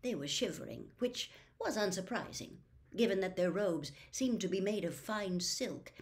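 A woman in her sixties reading a novel aloud expressively, with deliberate, dramatic phrasing. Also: medium pitch, lively intonation, creaky. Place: small room at home with bookshelves.

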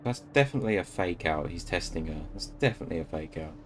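A young man reacting to a TV episode on a headset mic in a small home room, excitable, swearing and calling out to the screen. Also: low voice, fast. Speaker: reaction video host. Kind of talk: casual reaction commentary.

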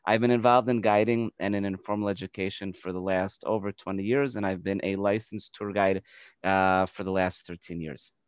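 A man in his forties with an American accent, a tour guide giving a virtual tour over a video call on a headset, talking quickly with frequent restarts. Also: sits low, clear, resonant tone, no room sound. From United States. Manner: fast, energetic, repeats words when restarting. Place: home by an open window.

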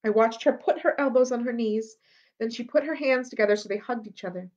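A woman's voice: fairly high pitch, a little fast, darkish tone. A woman reading a children's novel aloud expressively, as a library storyteller. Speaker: library read-aloud reader. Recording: dull lo-fi audio.

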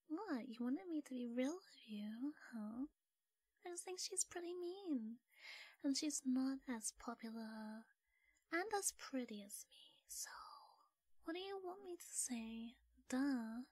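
Breathy whispering popular schoolgirl, acted by a woman. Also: a little high, a little mellow, dry recording. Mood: coy, dismissive.

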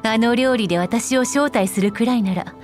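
A woman playing a poised, shrewd, wealthy noblewoman in an anime game, speaking in an elegant, composed, teasing and deliberate manner. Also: a little high, faint music behind, close to an everyday voice. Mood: poised, unhurried. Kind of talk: scripted anime game character voice lines.

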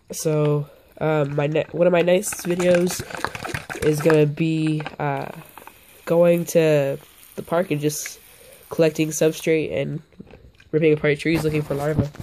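A young man with a hoarse voice from being a little sick, casually rambling through a hands-on vlog from his floor, often stopping to correct himself. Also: a little high, background noise. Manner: rambling, self-interrupting, offhand. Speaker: bug-keeping vlogger. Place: indoors, on a carpeted floor.

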